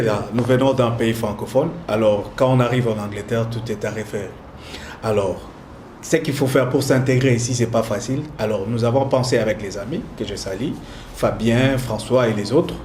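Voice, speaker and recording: A man from Congo being interviewed as a community entrepreneur, speaking in a measured way, listing his roles. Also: mid-range voice, steady tone, darkish tone. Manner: measured, speaks in lists, uses sayings. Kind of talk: interview answers. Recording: echoey room with clear noise.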